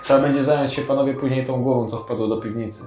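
A man's voice: medium pitch, steady tone, quick pace. A man with a Polish accent chatting casually and jokingly as a player in a tabletop role-playing game. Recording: echoey room with clear music behind. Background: music.

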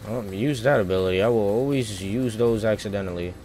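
A man's voice: lowish voice, brisk, darkish tone. A man giving casual, slangy gaming commentary as a live streamer, muttering reactions as he plays.

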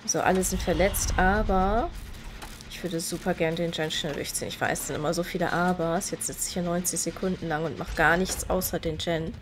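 A woman's voice: lowish voice, fairly even intonation, brisk, over music. Nasal woman commentating a game. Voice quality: slightly nasal. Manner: chatty, explains game mechanics while playing. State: stuffy nose from a cold. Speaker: gaming video creator. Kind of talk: casual gameplay commentary.

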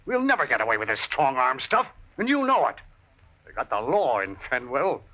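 An actor playing a nervous, protesting outlaw underling in a radio western. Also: male voice, mid-range voice, huge pitch swings, moderate pace, sharp tone, heavily acted. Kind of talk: old-time radio western acting. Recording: muffled vintage radio recording.

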